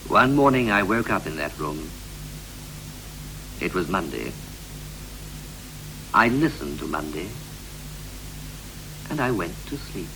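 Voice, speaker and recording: Brooding ageing husband, swooping low voice. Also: male voice, big pitch swings, slow, long pauses, music in the background, full character voice. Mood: weary, reflective. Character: weary, brooding ageing husband looking back on life. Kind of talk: 1960s poetic radio drama acting. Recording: noisy, hissy 1960s radio recording.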